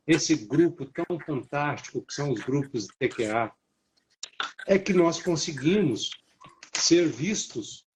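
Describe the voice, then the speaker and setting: A man's voice: fairly high pitch, a little mellow, a little hiss or hum, rough recording. Mature central Brazilian man, grateful. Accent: central Brazilian Portuguese. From Minas Gerais, Brazil. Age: middle-aged or older. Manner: grateful, addresses audience directly. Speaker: guest, therapy co-creator. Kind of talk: casual live-stream talk.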